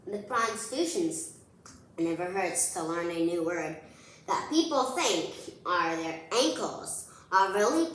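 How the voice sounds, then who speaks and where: Animated woman reading aloud in an echoey room. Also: mid-range voice. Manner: animated, playful, addressing child listeners directly. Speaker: children's read-aloud host. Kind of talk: expressive picture book read-aloud.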